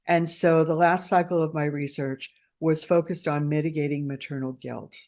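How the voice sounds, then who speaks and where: A middle-aged woman from the United States, a researcher and guest, answering in an earnest, measured, academic way. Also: sits low, fairly even intonation, darkish tone. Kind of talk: conversational interview answer.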